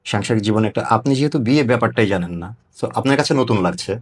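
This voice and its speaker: A man's voice: on the low side, animated, quick pace. A man interviewing a guest in a conversational way, dropping English words into his speech. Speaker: interviewer, host.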